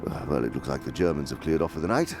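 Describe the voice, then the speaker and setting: A man's voice: a bass voice, animated, quick pace, creaky, darkish tone, faint music behind, heavily acted. A man playing a suave, pompous senior demon mentor in a radio drama, lecturing theatrically and with relish. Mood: stern.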